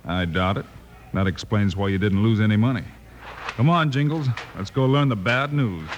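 An actor playing a resolute western marshal in an old-time radio drama, calm, commanding and plain-spoken. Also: male voice, lowish voice, moderate pace, dull audio, close to an everyday voice. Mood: resigned, steady. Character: steady, resolute adult male western marshal. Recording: noisy old radio recording.

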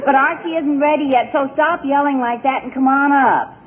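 A woman's voice: high voice, moderate pace, natural voice. An actress playing a harried, bossy but caring middle-aged mother in 1940s radio sitcom style. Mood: firm, patient. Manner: exasperated, emphatic. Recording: tinny, noisy 1940s radio broadcast.

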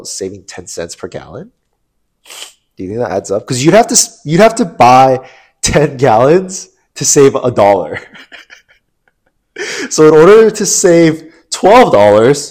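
A man's voice: medium pitch, a little mellow. Nasal, stuffed-up young man rambling. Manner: rambling, laughs often, restarts sentences. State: stuffed-up nose from allergies. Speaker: podcast co-host. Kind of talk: casual chat.

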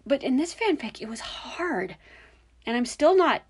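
A woman's voice: high-pitched, big pitch swings, unhurried, breathy edge. A woman with a young-sounding voice hosting a podcast, musing hesitantly with many fillers. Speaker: podcast host. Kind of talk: casual solo podcast commentary.

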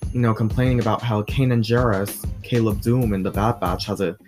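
A teenage boy with a deep voice, hosting his own vlog in a chatty, blunt rant that swears freely. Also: fairly even intonation, moderate pace, over music. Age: teens. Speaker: vlog host. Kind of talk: casual ranting monologue.

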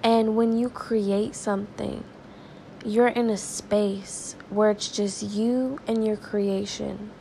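A woman hosting a devotional podcast, sounding stuffy and raspy from crying, talking earnestly and praying in a conversational way. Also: mid-range voice, fairly even intonation, warm tone, slight background noise, low-quality recording. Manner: earnest, conversational, slow and prayerful. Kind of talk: informal devotional talk and prayer.

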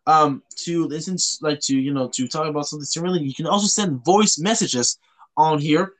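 A man from Canada hosting a casual podcast, talking in a halting way and often rephrasing himself. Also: on the high side. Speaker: podcast host.

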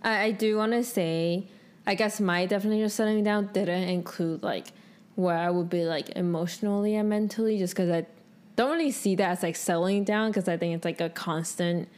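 A woman's voice: mid-range voice, fairly even intonation, moderate pace, a little mellow, clean tone. A young adult woman co-hosting a podcast in casual chat, thinking aloud in informal speech peppered with "like". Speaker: podcast co-host.

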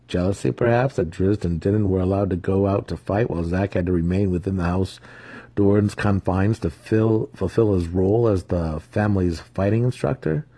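A man reads fantasy fiction as a dramatic narrator. His voice is deep and dark, with a husky grain running through it. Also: normal speed, no room sound. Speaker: audiobook narrator.

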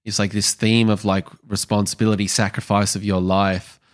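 Very low-pitched man in casual conversation. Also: little pitch movement, normal speed, no room sound, clean studio sound. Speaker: podcast host. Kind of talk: casual film discussion.

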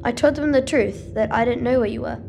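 A doubting, hurt young boy in an audio drama. The voice is a little high with a noticeable creak, set over clear music. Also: fairly quick, a little mellow. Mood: suspicious, hurt.

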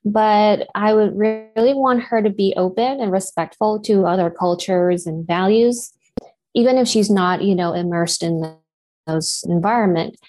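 A woman in her thirties whose first language is Japanese speaks about her life in a reflective interview. She talks at a steady, considered pace and leans on "you know" as she gathers her thoughts. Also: medium pitch, unhurried. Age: 30s. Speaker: actor and guest.

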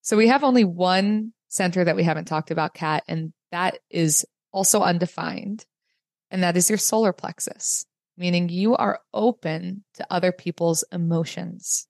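Calm low woman giving a reading. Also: normal speed, gravelly, no echo, studio quality. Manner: calm, explanatory. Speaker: human design reader, host. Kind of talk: guided reading.

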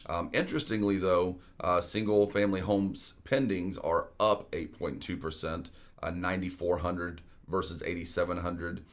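A man's voice: fairly even intonation, normal speed. A man, hosting a real estate talk show in a casual, conversational way with frequent fillers. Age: 40s. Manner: deep voice. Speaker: real estate podcast host.

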